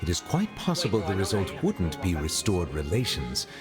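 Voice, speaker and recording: A man playing a formal, reserved and cautious chief justice in a video game, speaking in measured, precise phrases. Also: low voice, wildly swooping pitch. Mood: grave, cautious. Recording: game music behind the voice.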